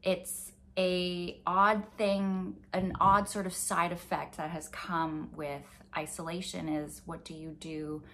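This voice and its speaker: A woman's voice: lowish voice, little pitch movement, slow pace, few pauses, a bit bright. A woman in her twenties, a playwright talking casually and somewhat hesitantly to camera. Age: 20s. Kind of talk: casual, reflective talk to camera. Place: home office, indoors.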